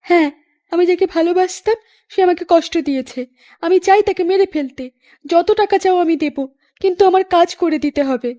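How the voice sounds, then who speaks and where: A woman voices a long-suffering young woman from a cartoon melodrama. Her voice is extremely high and girlish. She speaks plaintively and earnestly, as someone betrayed in love. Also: fairly quick, dark tone, dull audio. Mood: grave, resolved. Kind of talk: animated melodrama acting.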